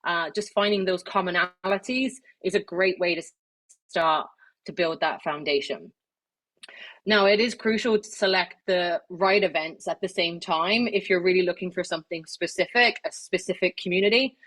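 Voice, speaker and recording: A woman's voice: on the low side, normal speed, fairly bright tone. A woman giving an informal online presentation, chatty, with many fillers and restarts. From not Irish, living in Ireland. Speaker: webinar speaker. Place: online webinar, video call.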